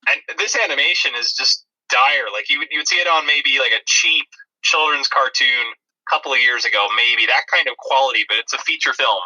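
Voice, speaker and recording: A man's voice: mid-range voice, fairly quick, sharp tone, slightly rough, low-quality recording. A man co-hosting a movie review podcast, chatting in a dry, disparaging way. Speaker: podcast co-host.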